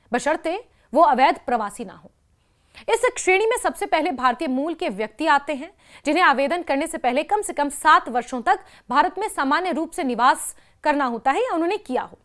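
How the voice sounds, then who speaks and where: A woman's voice: high-pitched, fairly bright tone. A woman with an Indian accent presenting a scripted news explainer in a didactic way.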